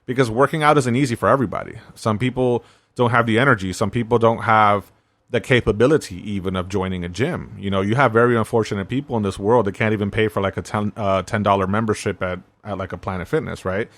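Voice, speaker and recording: A man's voice: fairly low pitch, steady tone, fast, fairly bright tone, no echo. A man hosting a self-help podcast, talking in a laid-back, conversational way and often checking in with "right?". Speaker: podcast host.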